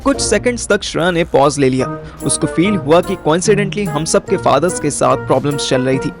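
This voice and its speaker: Narrator-lead for audio drama: young man, slightly high pitch, first-person, casual and slangy Hinglish delivery. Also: lively intonation, moderate pace, creaky, music in the background.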